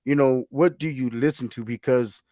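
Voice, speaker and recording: A man's voice: mid-range voice, steady tone, moderate pace, mellow, no room sound. Rambling male DJ host, dry sound. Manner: rambling, many fillers. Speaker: radio talk show host and DJ. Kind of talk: casual interview chat.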